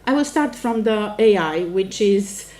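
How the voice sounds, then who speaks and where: A woman's voice: mid-range voice, expressive, measured pace, darkish tone. A middle-aged woman from Romania with a Romanian accent, a native Romanian speaker, giving a formal academic address as a professor. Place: indoor lecture event before an audience.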